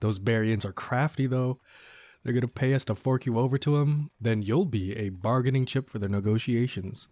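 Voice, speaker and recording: A man's voice: medium pitch, normal speed, dark tone, no echo, lightly acted. A man playing a cocky but considerate bandit leader in an audio roleplay, talking to the listener in a casual, folksy, wryly mock-courteous way. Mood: wry, conspiratorial.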